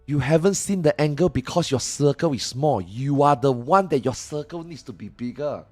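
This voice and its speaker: A man streaming live who chats casually, swears freely and reacts loudly to his viewers, and he says he is losing his voice. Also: on the high side, animated, normal speed, faint music behind.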